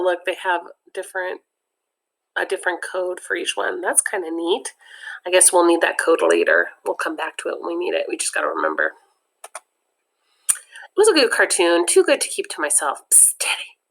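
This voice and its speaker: A woman's voice: on the low side, very animated, normal speed. Chatty woman reading a comic aloud. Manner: chatty, adds personal comments between passages. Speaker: read-aloud narrator.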